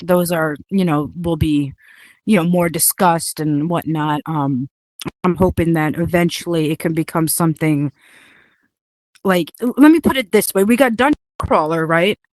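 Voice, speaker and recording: A woman's voice: low-pitched, normal speed, darkish tone, no room sound. A woman whose first language is Hungarian, chatting casually about building a game, rambling with many fillers and laughs. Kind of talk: casual chat.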